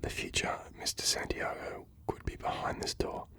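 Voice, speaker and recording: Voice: normal speed, bright, forceful tone, lightly acted. A reader whispering the lines of an eager, competitive young female detective.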